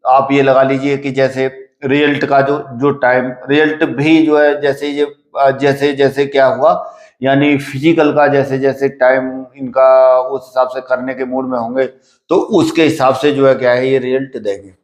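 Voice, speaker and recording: A man's voice: mid-range voice, level tone. Man coaching in an echoey room. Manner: repetitive, halting, with filler phrases and check-questions; instructive, paternal address to students. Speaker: coach at a physical training academy for police and army recruitment. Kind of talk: informal advice talk to exam candidates.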